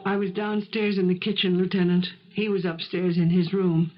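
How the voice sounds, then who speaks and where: An actress playing a cold, scheming housemaid in a 1970s radio drama, speaking in an insistent, deliberate manner. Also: female voice, on the low side, flat delivery, music in the background, close to an everyday voice. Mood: composed, guarded. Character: cold, relentless, scheming housemaid pressing a guilty employer. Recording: muffled vintage 1970s radio recording.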